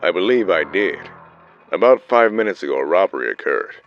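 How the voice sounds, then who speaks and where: A man playing a dutiful field agent in an audio drama, reporting in a formal, measured, deferential way. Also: deep voice, expressive, muffled recording.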